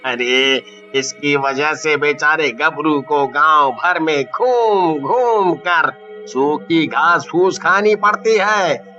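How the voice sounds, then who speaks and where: A man's voice: a little high, bright, forceful tone, muffled recording, soft background music. A man voicing a menacing, gloating camel possessed by a forest demon, drawing out his threats in a horror cartoon. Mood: angry menace. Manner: slow drawn-out threats. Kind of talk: Hindi horror cartoon voice acting.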